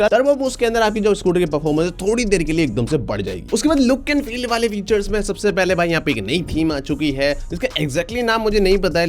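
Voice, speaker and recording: An Indian man presents an informal explainer in a fairly high male voice. He talks very quickly and colloquially, addressing his viewers like buddies. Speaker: tech video host reviewing electric scooters.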